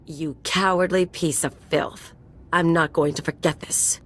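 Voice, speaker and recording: A woman's voice: deep voice, steady tone, unhurried. An actress playing a tough, sardonic female mercenary in a video game, blunt and sarcastic. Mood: angry.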